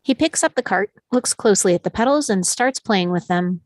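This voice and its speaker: A woman narrates stage directions for a script read. Her voice sits at a medium pitch, and the delivery is that of scripted narration. The sound is very dry and close. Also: lively intonation, a little fast, darkish tone, no room sound.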